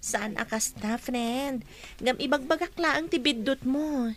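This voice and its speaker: Woman playing a high-voiced, friendly schoolgirl. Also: measured pace. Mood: gently reproving. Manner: coaxing, reproving. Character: friendly, reasonable schoolgirl classmate gently correcting her friend. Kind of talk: radio drama acting in Ilocano.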